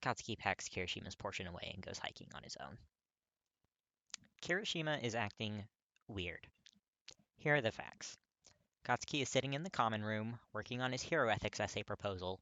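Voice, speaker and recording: A narrator reading fiction aloud in an expressive, emphatic audiobook style. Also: medium pitch, brisk, pauses often, dry recording. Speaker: podfic narrator.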